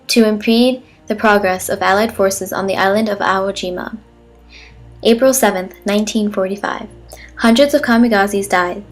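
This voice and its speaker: A woman's voice: medium pitch, close to an everyday voice. A woman playing an earnest, patriotic wartime newsreel narrator, reading a formal script in a declarative way. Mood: proud, declarative. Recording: music behind the voice. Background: music.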